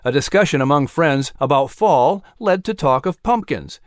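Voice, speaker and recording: A man narrating a children's audiobook in an expressive storytelling manner. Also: on the high side, normal speed, no room sound, clean studio sound.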